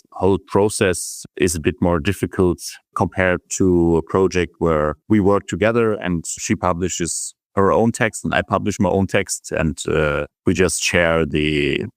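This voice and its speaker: Man, very low voice, measured, lots of uh fillers, dry sound. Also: no room sound. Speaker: journalist guest. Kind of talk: interview answers.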